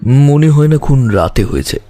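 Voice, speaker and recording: A man playing a calm, observant detective in an audio drama, questioning in a measured, deliberate way. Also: mid-range voice, faint music behind, natural voice. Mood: analytical, grave. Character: calm, probing male detective, sharply observant.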